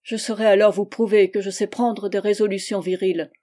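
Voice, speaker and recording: A woman reading the part of an earnest young man, speaking with steady, measured persuasion in her own voice. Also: medium pitch, lightly acted. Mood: firm. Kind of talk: audiobook dialogue reading.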